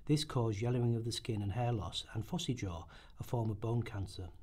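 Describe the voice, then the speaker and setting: A man reads scripted historical narration in a rehearsal. His voice sits at a middling pitch with a slight husk, and he occasionally trips over a phrase. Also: a little fast, a little mellow, breathy edge. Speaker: narrator in a radio play rehearsal. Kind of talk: scripted documentary-drama narration, read aloud.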